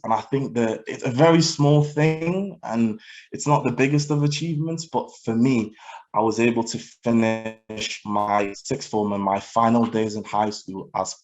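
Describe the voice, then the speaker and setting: A man in his early twenties giving a personal testimony as a guest speaker, earnest and reflective in a measured storytelling way. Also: fairly low pitch, poor audio. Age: early 20s. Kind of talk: personal testimony in a public talk.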